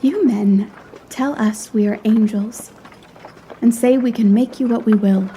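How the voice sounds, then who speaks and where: A woman's voice: a little high, steady tone, unhurried, dark tone, a little hiss or hum, close to an everyday voice. A woman playing a proud, frank young lady in a period audio drama, speaking in a refined, articulate and earnestly reproachful way.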